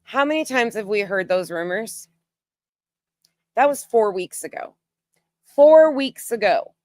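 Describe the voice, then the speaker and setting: A woman's voice: a little high, lively intonation, measured pace, darkish tone, no echo, clean studio sound. A woman hosting a casual live online commentary, emphatic and repetitive in phrasing, talking through what she does as she browses. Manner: narrates own actions while browsing. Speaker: YouTube creator, host.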